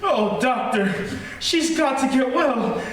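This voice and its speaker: Echoey, high-voiced, frantic husband played by an actor. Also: male voice, lively intonation, full character voice. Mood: guilt-stricken, pleading. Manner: melodramatic, anguished, slow and emphatic. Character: distraught, guilt-ridden husband unravelling into hysteria. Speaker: stage actor in a radio play. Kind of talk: old-time radio horror acting. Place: theater stage, live-streamed radio play performance. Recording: echoey theater, distant mic, faint noise.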